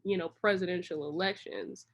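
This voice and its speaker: A woman's voice: fairly low pitch, fairly even intonation, normal speed. A woman from Washington, D.C., giving casual, long-winded answers as a guest in an interview. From Washington, D.C., United States. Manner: long-winded, rambling storytelling. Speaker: interview guest, creative director.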